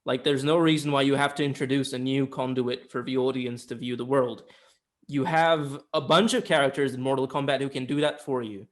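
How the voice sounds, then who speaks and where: A man's voice: mid-range voice, steady tone, brisk. A man co-hosting a casual film discussion, argumentative and emphatic, with some casual swearing. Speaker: podcast co-host reviewing films.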